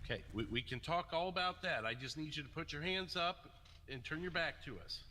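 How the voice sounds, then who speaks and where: Man playing a bright-voiced, commanding police officer. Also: on the high side, pitched above the performer's own voice. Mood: coaxing, controlled. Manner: commanding, measured, insistent. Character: firm, controlled male police officer negotiating with suspect. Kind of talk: improvised game roleplay. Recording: long reverb, faint noise behind.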